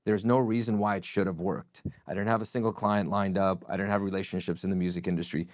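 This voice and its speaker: Russian-native man, middle-aged, deep voice, dry close mic, thoughtful interview guest. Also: fairly even intonation, no echo. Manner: reflective, measured storytelling. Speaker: podcast guest. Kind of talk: casual interview chat.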